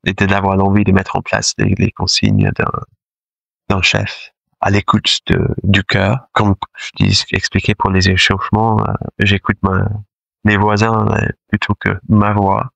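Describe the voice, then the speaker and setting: A man's voice: lowish voice, completely flat delivery, measured pace, gravelly, fairly bright tone, dry recording, lo-fi sound. A man who speaks French as his second language, a choir director talking in a casual interview, hesitant with many fillers and restarts. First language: not French; French is his second language.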